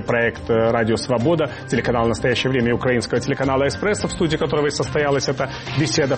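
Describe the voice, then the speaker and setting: A man hosting a radio interview, asking questions and signing off in a fluent, formal way. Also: mid-range voice. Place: television studio.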